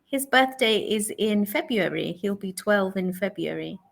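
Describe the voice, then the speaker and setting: A woman's voice: medium pitch, lively intonation, a little slow, creaky. A woman, patiently chatting with a young learner in an online conversation lesson and repeating the answers back. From England. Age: 40s. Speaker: online English tutor.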